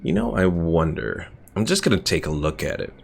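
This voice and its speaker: A man's voice: low voice, big pitch swings, fairly quick, vocal fry, slight background noise. A man streaming a game with casual live commentary, thinking aloud as he plays. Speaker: gaming streamer.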